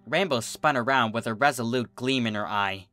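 A man's voice: medium pitch, normal speed, sharp tone, soft background music. A man reading a story aloud as scripted narration. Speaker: fan fiction reader.

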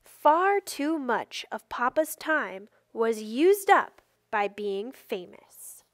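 A woman reading a children's picture book aloud with careful, expressive storytelling delivery. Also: a little high, extremely slow, no room sound, clean studio sound. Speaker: storybook reader.

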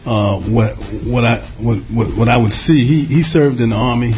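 An older man from New York answering interview questions slowly, with many pauses and fillers. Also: mid-range voice, darkish tone, faint music behind. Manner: slow, hesitant, many fillers. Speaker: guest.

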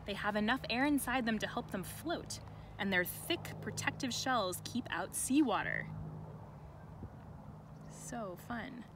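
Woman reading aloud outdoors, noisy background. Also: on the high side. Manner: expressive, deliberate reading for children. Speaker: educator reading a picture book aloud to children. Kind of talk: read-aloud with chatty asides. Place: outdoors in a sunny farm garden. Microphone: camera held by another person nearby. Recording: outdoor ambient noise.

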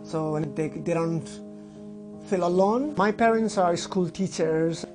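A man's voice: sits high, takes it slowly, music in the background. A young man from Nepal, talking earnestly in an interview, often adding "like" and "right?". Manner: earnest, thoughtful, frequent "like" and "right?". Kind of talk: casual interview speech. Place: indoor room by curtained window.

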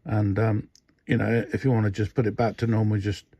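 A man's voice: on the low side, little pitch movement, a little fast, warm tone, breathy edge, no echo. An older man casually demonstrating a radio modification at his workbench, rambling with many fillers and restarts. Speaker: radio hobbyist showing a modification. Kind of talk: casual hands-on demo. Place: indoor workbench. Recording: dull, dry lo-fi phone audio.

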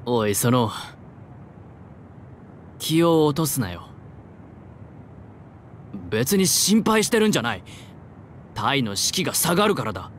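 A man's voice: medium pitch, big pitch swings, measured pace, pauses often, slight background noise. An actor voicing a proud, prickly young man with a brusque manner in a Japanese anime game. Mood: gruff concern.

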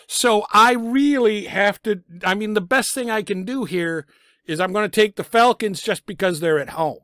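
A middle-aged man with a raspy voice from a lingering cough, giving blunt, sarcastic football picks from a small home room on a desk microphone. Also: sits high, a bit bright, dry recording, clean studio sound. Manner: blunt, sarcastic about teams and coaches. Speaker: sports picks vlogger. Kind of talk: casual football picks commentary. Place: small home room full of sports memorabilia. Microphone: desk microphone in front of him.

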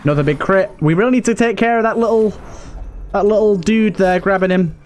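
A man's voice: high voice, brisk, darkish tone. A man giving casual let's play commentary in an anxious, exasperated way, often repeating himself. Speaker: gaming YouTuber and host. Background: game music.

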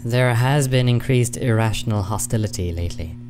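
A man playing a wary peacekeeper imp in a radio play, with a dry, formal, measured delivery. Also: medium pitch, noisy background, lightly acted. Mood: calm, measured. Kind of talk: short radio play acting. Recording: music behind the voice.

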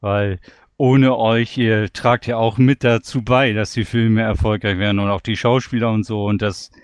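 Lowish German man, dry close sound. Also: steady tone, moderate pace, clean tone, dry recording. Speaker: podcast interviewer. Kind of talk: casual interview chat.

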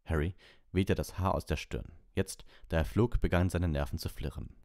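A man's voice: low-pitched, normal speed, creaky, darkish tone, no echo, studio quality. A man reading a story aloud as an audiobook narrator.

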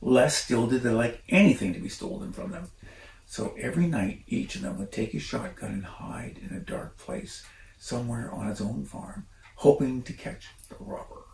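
Creaky-voiced older man reading aloud, roomy sound. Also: mid-range voice, darkish tone. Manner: informal, unhurried, commenting as he reads. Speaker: storyteller reading a children's book. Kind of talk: casual read-aloud storytelling with chatty asides. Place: living room by a stone fireplace. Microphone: camera a few feet away. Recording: roomy, distant mic, faint noise.

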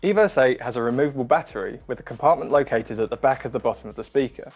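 A man in his thirties presenting a scripted product demonstration in a clear, instructional manner. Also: medium pitch, fast, darkish tone. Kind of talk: scripted product review narration. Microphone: wireless lavalier or close studio mic. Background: background music.

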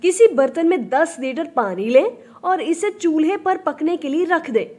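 A woman narrates a business tutorial from a script. Her voice sits high, and she walks through each step in an upbeat, instructive manner.

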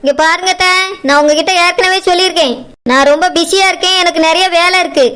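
A woman's voice: extremely high pitch, fast talker, heavy background noise. A woman playing a selfish, hard-hearted daughter-in-law in a family drama skit, curt and dismissive, with a southern Tamil Nadu accent. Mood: scolding. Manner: scolding, dismissive.

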